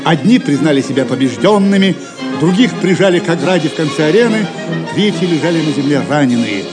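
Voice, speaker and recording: High-pitched male dramatic narrator over music. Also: expressive, a little fast. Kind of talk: dramatic scripted storytelling. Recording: music behind the voice.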